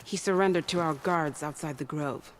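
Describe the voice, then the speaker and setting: Guy doing a dutiful soldier reporting in a game, a bit high, slight background noise. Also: moderate pace, natural voice. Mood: urgent. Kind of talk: dramatic video game acting.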